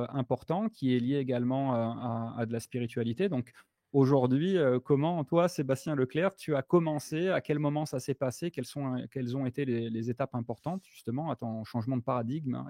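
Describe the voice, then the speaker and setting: A man hosting a live online talk, introducing a guest with many fillers and pauses. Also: mid-range voice, warm tone, no room sound, studio quality. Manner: halting, lots of fillers. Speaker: host.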